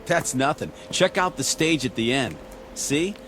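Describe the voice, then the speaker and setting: A man's voice: a little high, a little slow, a little hiss or hum. Brash, jittery spaceman, swooping delivery. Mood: sardonic. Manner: slangy, wisecracking, excitable. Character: brash, jittery space crewman unnerved by a frozen world. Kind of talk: audio drama acting.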